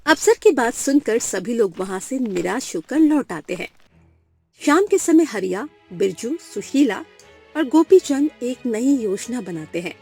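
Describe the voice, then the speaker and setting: A woman's voice: a little high, expressive, a little slow, darkish tone. A woman narrating a scripted moral story for a cartoon. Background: music.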